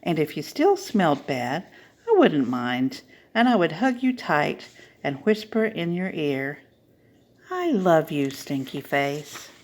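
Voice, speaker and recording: A woman's voice: low voice, sing-song, slow, darkish tone, exaggerated voice. A woman reading a picture book as a loving mother, tenderly reassuring her child. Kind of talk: picture-book read-aloud.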